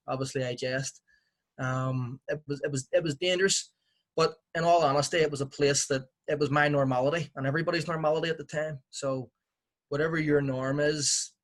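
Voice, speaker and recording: A man in his forties with a Belfast accent, speaking as a podcast guest. His pitch stays level and even as he recalls his past with a reflective, wry humor. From Northern Ireland. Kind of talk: relaxed interview storytelling.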